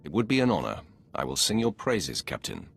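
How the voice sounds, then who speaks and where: An actor playing a commanding, sardonic dark lord in a video game, wry and imperious toward those beneath him. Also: male voice, rumbling low, sing-song, creaky, slight background noise, full character voice. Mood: wry, approving. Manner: wry, imperious, measured authority. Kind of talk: video game character acting.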